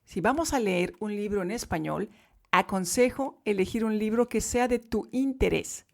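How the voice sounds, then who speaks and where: A woman teaching Spanish to learners in a podcast lesson, speaking clearly and emphatically. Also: mid-range voice, normal speed, a little mellow.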